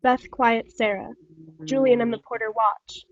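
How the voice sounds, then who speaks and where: A woman with a fairly high voice reads stage directions for a play. It is scripted narration, heard dry through slightly dull video-call audio. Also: steady tone, measured pace, no echo.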